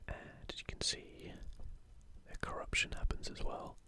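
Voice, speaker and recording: An ASMR host whispering softly in a breathy, unvoiced voice, giving unhurried, rambling commentary. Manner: hushed, unhurried, rambling asides.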